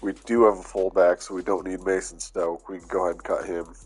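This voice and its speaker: A man with a fairly low voice and a stuffy, congested sound, talking through a sports video game at an easy, unhurried pace. He thinks aloud with plenty of "uh"s, casual and a little worn down from being under the weather. Faint music sits underneath his voice. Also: level tone, a little mellow, breathy edge. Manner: laid-back, thinking aloud with fillers. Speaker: gaming YouTuber. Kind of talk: casual gameplay commentary.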